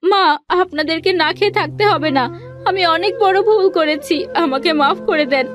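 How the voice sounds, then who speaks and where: A woman's voice: very high-pitched, normal speed, a bit bright. A woman voicing a meek, foolish young village daughter-in-law in a cartoon. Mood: pleading. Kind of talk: cartoon character acting.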